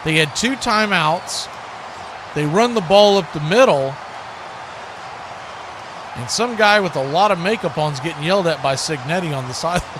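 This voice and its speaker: High-voiced man calling football, noisy background. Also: lively intonation, normal speed, pauses often. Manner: conversational, mixes calls with opinion. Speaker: play-by-play announcer for a fan watch-party stream. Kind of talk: live football commentary. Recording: clearly noisy live stream audio.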